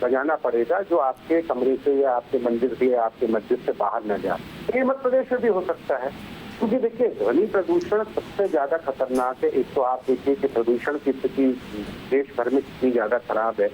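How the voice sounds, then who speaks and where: A man speaking as a panel guest in a political debate, explaining his points earnestly. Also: medium pitch. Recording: dull lo-fi remote link, light noise.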